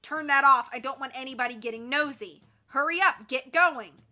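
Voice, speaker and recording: Male villain played by a woman narrator: angry, gloating gang boss, sarcastic bark, forceful delivery, audiobook. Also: sits high, moderate pace. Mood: furious barking. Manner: barking, sarcastic.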